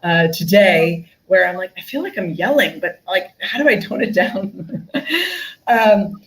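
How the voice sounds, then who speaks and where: A woman's voice: on the low side, measured pace, rough recording. A woman on a live online panel, giving upbeat career advice in a chatty way with many "you know"s, speaking over a video call.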